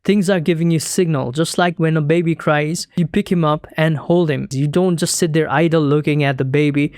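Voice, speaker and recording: A young man from Nepal whose first language is Nepali, giving a calm, earnest, scripted talk as a spiritual teacher. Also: on the high side, no echo, studio quality. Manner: measured, earnest, explanatory.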